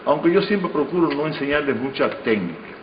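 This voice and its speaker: A man talking reflectively in an interview, in a measured, didactic way, like a director who teaches acting. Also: on the high side, expressive. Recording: reverberant room, muffled, faint noise.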